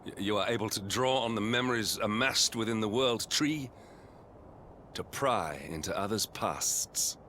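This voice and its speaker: An actor playing a grave, loyal knight in a video game, speaking formally and earnestly. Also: male voice, lowish voice, bright, forceful tone, slight background noise. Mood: solemn, impressed. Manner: formal, earnest, measured speech. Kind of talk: dramatic video game voice acting. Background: orchestral game music.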